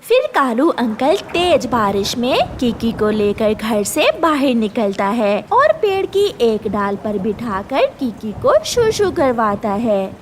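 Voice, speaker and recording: A woman's voice: on the high side, a little hiss or hum. A woman narrating a scripted children's story as its storyteller.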